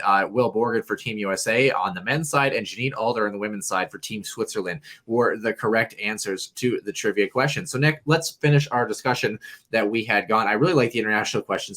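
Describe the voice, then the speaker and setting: A man with a whiny, nasal voice holds forth in relaxed sports banter. He talks in long, winding runs and keeps starting over. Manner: rambling, animated, jumps in pitch. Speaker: podcast co-host. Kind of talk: casual sports chat.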